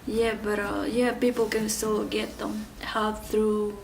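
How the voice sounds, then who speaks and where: Halting woman from Ecuador, slow and flat. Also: mid-range voice, creaky, far from the mic, music in the background, poor audio. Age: 30s. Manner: halting, many fillers and restarts. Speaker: interview guest. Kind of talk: personal story interview.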